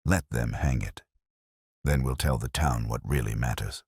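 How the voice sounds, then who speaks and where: A very low, clear voice for a patient, shrewd police inspector played by a male narrator. He is measured and quietly firm, speaking with deliberate understatement in a detective audio drama. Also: no room sound, studio quality.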